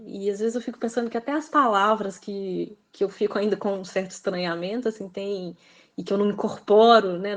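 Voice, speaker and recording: Reflective woman with a Minas Gerais accent. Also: medium pitch. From Belo Horizonte, Brazil. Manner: reflective, hesitant, fills with "assim", laughs often. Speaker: guest author. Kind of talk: casual interview conversation.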